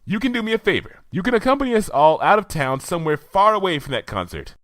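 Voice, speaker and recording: A man voicing an eager, bookish young female unicorn who talks in a brisk, earnest, slightly flustered way. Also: high-pitched, sing-song, fairly bright tone, no echo, voice pushed up.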